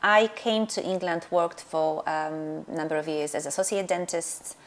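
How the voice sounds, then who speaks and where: A woman's voice: sits low, fairly even intonation, moderate pace. A middle-aged woman from Poland with a Polish accent, a dentist answering interview questions hesitantly, with many fillers and restarts. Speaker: dentist and practice owner.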